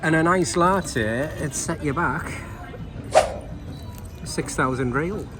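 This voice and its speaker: A man chatting casually on camera outdoors in a hot city, relaxed and unhurried. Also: a little high. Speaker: travel vlog host. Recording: loud music over on-location audio. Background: loud music.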